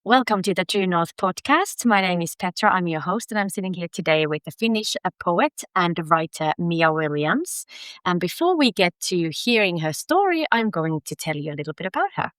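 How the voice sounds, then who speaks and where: A woman's voice: lowish voice, animated, normal speed, a bit bright, no room sound. A woman hosting a podcast, friendly and encouraging as she welcomes and questions her guest. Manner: friendly, encouraging, laughs often. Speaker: podcast host. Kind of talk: podcast interview.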